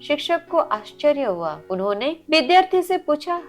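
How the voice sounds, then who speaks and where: High-pitched woman narrating moral stories. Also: sing-song, normal speed. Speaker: storyteller and channel host. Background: faint background music.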